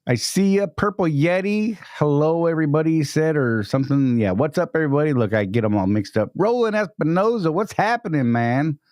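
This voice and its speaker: A man's voice: on the high side, lively intonation, fairly quick, few pauses, darkish tone, dry recording, clean studio sound. Upbeat man hosting a live stream. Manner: upbeat, greets chat viewers by name. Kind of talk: casual live-stream chat.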